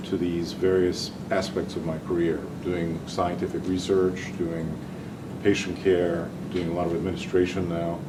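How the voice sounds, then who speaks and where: An older man from Hungary, a physician and researcher giving a talk, speaking hesitantly with many ums and restarts. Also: deep voice. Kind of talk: lecture-style talk. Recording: distant mic with audible room noise.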